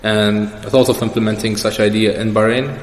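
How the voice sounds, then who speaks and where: Low, even-toned young man in an echoey room. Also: brisk. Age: young adult. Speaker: interview guest. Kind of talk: casual personal interview. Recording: echoey reverberant room with background noise.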